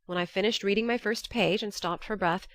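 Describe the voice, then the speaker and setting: A woman reading scripted first-person literary narration for an audiobook, telling it in a measured, expressive way. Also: mid-range voice, no echo.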